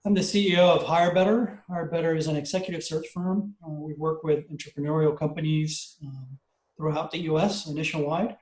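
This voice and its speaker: A man's voice: a little high, fairly even intonation, a little fast, a little mellow. A man casually introducing himself as a company chief at a meeting. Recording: echoey room on a call.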